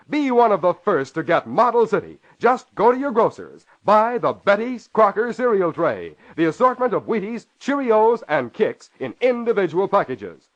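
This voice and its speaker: Male vintage radio announcer, emphatic and excited, high and lively, on a dull old broadcast recording. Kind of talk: 1940s-50s radio announcing and advertising.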